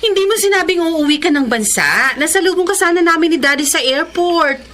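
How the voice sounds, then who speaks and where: A woman acting a gentle, devoted schoolteacher in a Filipino radio drama. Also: very high-pitched, lively intonation, a little fast, a little hiss or hum. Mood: cheerful, fond.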